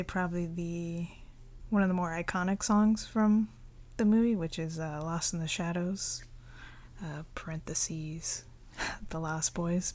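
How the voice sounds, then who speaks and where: A woman speaking softly and often in a near whisper, in a relaxed, chatty ASMR show-and-tell. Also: fairly low pitch, slight background noise. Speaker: ASMR video creator showing a collection.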